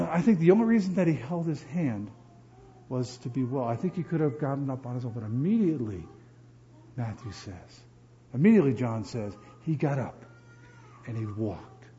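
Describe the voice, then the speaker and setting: A man from New Jersey preaching a sermon as pastor, animated and often repeating words as he builds emphasis. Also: medium pitch, normal speed, muffled recording, a little hiss or hum.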